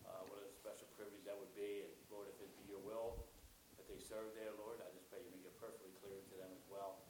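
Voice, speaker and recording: A man leading a spoken congregational prayer, halting and repeating words, addressing the Lord often. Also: fairly low pitch, little pitch movement. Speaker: church member leading prayer. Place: church sanctuary. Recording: distant room mic, echoey, noisy.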